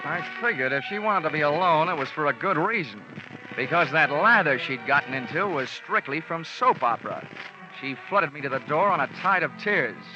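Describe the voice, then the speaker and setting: An actor as a wry American radio detective. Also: male voice, on the high side, animated, normal speed, natural voice. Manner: dry, wisecracking, clipped storytelling. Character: wry, cynical freelance insurance investigator narrating his case. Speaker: detective narrator. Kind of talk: 1940s-50s radio detective drama narration. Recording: dull old radio recording with music.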